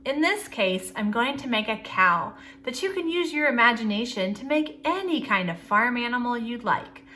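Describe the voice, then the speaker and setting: A woman's voice: a little high, lively intonation, moderate pace, pushed and bright. A woman hosting a storytime, speaking simply and expressively to young children.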